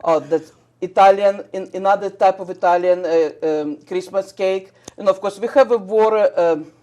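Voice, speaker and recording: A woman from Russia with a Russian accent, a shop owner chatting eagerly about her products in halting English with many fillers. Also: medium pitch, mellow. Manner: enthusiastic, halting non-native English with fillers. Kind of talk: casual chat.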